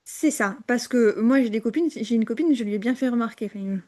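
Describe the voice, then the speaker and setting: A woman co-hosting a casual podcast chat, hesitant, with many fillers and restarts and frequent quiet drops. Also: on the high side, a little fast. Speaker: podcast co-host.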